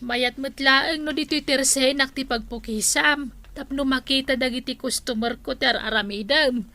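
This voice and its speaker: A woman's voice: high voice, fairly even intonation, moderate pace, pushed and bright. A woman playing a chatty, friendly village shopkeeper in a comedy drama. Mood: friendly chatting.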